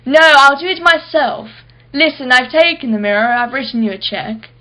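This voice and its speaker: A woman's voice: sits high, moderate pace, bright, forceful tone, low-quality recording. An actress playing an imperious, wealthy businesswoman in a radio play, guarded and impatient, speaking in a clipped, formal way. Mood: impatient, dismissive.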